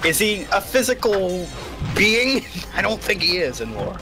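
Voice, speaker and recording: A man's voice: sits high, big pitch swings, unhurried, over music. Playful male streamer from New York. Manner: playful, asks teasing questions. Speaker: co-host on a gaming stream. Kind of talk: casual banter.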